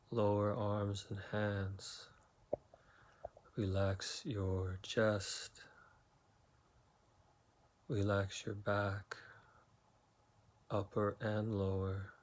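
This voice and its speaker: A man leading a guided meditation, giving slow, soothing instructions with long pauses between phrases. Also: fairly low pitch.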